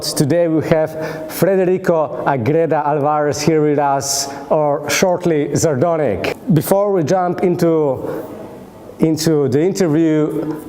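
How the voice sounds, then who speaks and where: A man hosting a podcast interview, asking halting questions with many fillers and restarts. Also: a little high, dark tone. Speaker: podcast host. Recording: roomy, reverberant sound with faint ambience.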